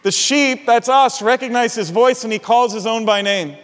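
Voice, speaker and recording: Man, middle-aged, pastor, high-pitched, animated storyteller, long hall reverb. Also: moderate pace. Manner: animated storyteller, chuckles often. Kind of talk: sermon with personal storytelling.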